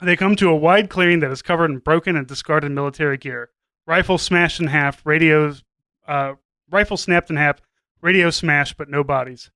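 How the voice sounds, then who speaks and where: A man's voice: fairly high pitch, moderate pace, sharp tone, clear, resonant tone, no room sound. A man narrating a scripted comedy story as a podcast host, now and then stumbling as he reads.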